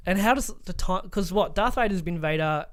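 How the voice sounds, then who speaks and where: Higher-voiced man, blunt podcast talk. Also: a little fast. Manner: opinionated, blunt. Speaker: podcast co-host. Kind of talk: casual opinionated movie chat.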